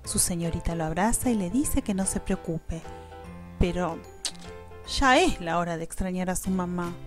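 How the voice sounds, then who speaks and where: A woman with an Argentine accent narrating a children's story in an expressive storytelling style. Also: on the low side, moderate pace, faint music behind.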